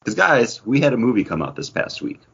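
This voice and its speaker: A man hosting a casual podcast, chatting in an animated, joking way with quick asides. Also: medium pitch, creaky, slightly rough, dull audio. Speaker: podcast host.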